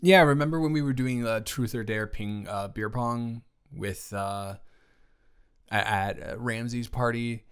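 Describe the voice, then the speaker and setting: A man playing a teasing, supportive male roommate in an improvised romantic audio roleplay, talking casually and stammering, with animated outbursts. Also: medium pitch, measured pace, clean tone, lightly acted. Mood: casual, curious. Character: male roommate and friend, teasing, supportive, indignant on her behalf.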